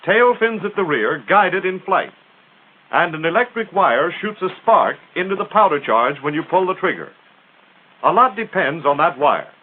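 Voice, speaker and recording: A tinny, narrow-band 1940s film soundtrack carries an American man narrating military instruction. His voice sits fairly high and swings with lively emphasis. He delivers it in a punchy, instructional style.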